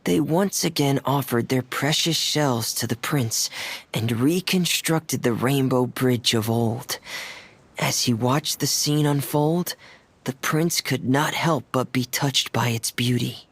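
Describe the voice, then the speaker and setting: A man voicing an earnest, reserved young male diver in a game, gentle and measured, as if reading a storybook. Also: mid-range voice, husky, full character voice. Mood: solemn storytelling.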